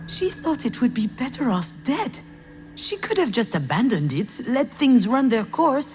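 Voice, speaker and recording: A woman's voice: a little high, very animated, normal speed, a little mellow, slight background noise. A woman playing a troubled, sardonic screenwriter in a visual novel game, with a hesitant, wry and emotionally strained delivery. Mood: reflective, bitter. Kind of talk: naturalistic visual novel voice acting, introspective narration and dialogue.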